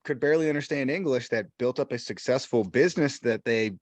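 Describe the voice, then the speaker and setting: This is a man co-hosting a podcast, talking in an excitable, laid-back way that is easy with a curse word. His voice sits at a middle pitch and sounds dry and close, with no room to it. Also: fairly quick, dry recording. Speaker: podcast host. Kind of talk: casual chat.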